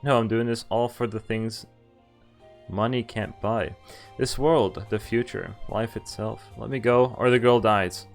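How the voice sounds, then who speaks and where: A man's voice: lowish voice, steady tone, a little slow, gravelly, faint music behind. A man streaming a visual novel, thinking aloud about choices and reading the story text in first person. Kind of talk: live game commentary and reading text aloud.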